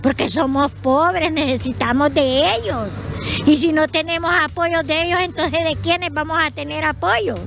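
A middle-aged woman with a Honduran accent speaks high and insistent, pleading in a street interview. She talks into a handheld mic on an open roadside, with faint music behind her. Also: normal speed, creaky, a bit bright. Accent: Honduran Spanish. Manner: pleading, indignant. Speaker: evicted farmer interviewed. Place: outdoors by a rural roadside. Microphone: handheld reporter mic.

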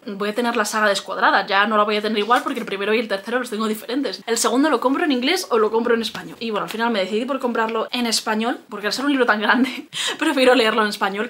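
A woman's voice: medium pitch, a bit bright. A young woman from Spain chatting to camera as a book vlogger, enthusiastic and rambling, with a Spain Spanish accent. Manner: chatty, rambling, enthusiastic, often restarts phrases. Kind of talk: casual chat to camera.

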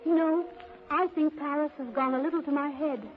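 An actress playing a young, innocent, romantic secretary in a 1930s radio drama, wistful and theatrical. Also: female voice, high voice, steady tone, fairly quick, lightly acted. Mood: dreamy, wistful. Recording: muffled vintage radio recording, faint music.

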